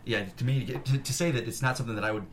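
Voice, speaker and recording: A man's voice: medium pitch, fairly even intonation, rapid-fire, a little hiss or hum. A man chatting casually as a panelist, rambling and cutting across his own sentences. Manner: rambling, self-interrupting.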